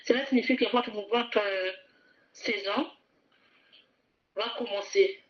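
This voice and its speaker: A woman's voice: mid-range voice, fairly even intonation, slow pace, muffled recording. A woman preaching a sermon fervently, repeating phrases and asking listeners to repeat after her.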